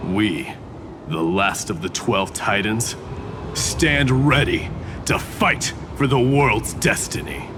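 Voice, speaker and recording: An actor playing a proud, battle-worn god of strife in a video game, with solemn, grandiose declamation. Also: male voice, lowish voice, slow, background noise. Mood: proud, defiant. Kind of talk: English game voice acting, epic dramatic dialogue. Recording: very loud music behind the voice.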